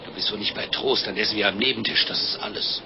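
An actor playing a jovial, fat little begging friar who is effusive, pious and fond of food, in a German radio play. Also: male voice, on the low side, quick pace, noisy background, natural voice. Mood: jovial, confident. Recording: dull lo-fi radio play, loud music.

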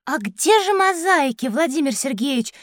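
A woman's voice: high-pitched, lively intonation, normal speed, no echo, studio quality. A woman playing an eager, curious young girl in a scripted children's audio drama, full of wondering questions. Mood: curious, amazed.

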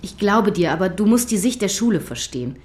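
A woman playing a middle-aged schoolteacher in a radio drama, patient but official, measured and formal. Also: medium pitch, animated, darkish tone, lightly acted. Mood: firm, grave.

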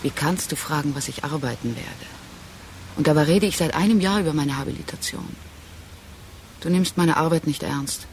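A cool, resolute intellectual parting from her lover in a radio play. Her voice is creaky, and she speaks in terse, matter-of-fact statements with a reproachful edge. A faint noise runs behind her. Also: low-pitched, moderate pace. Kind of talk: literary radio drama acting.

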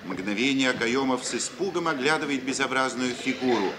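A man's voice: medium pitch, brisk, crowd noise. A man narrating stage directions in a scripted 1950s Russian radio play. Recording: noisy vintage 1950s radio recording.

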